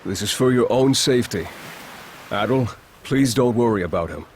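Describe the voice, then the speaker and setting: An actor playing a courteous, dutiful war chief in a video game, speaking in a composed and formal manner. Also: male voice, medium pitch, expressive, a little hiss or hum, natural voice. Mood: calm, dutiful. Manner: formal, measured wording. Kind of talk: video game cutscene acting.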